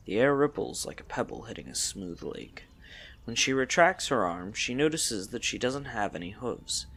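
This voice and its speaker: A man's voice: mid-range voice, fairly bright tone. A man narrating a scripted audio story.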